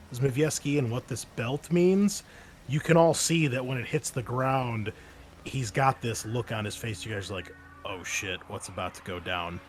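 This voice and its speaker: A man's voice: mid-range voice, slight background noise. A man running a tabletop roleplaying game as game master, amused and easygoing, explaining rules with many fillers.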